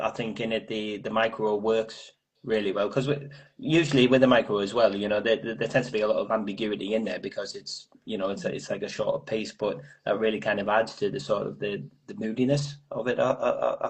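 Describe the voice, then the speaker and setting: A man with a husky voice casually discussing poetry in a tentative, rambling way, often repeating words as he goes. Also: lowish voice, fairly even intonation, fairly quick, few pauses, poor audio. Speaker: host of a live poetry reading.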